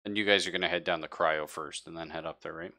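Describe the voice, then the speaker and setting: A man's voice: low voice, steady tone, fast, bright, forceful tone. A man running a tabletop game as game master in casual, easygoing chat. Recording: live online game stream.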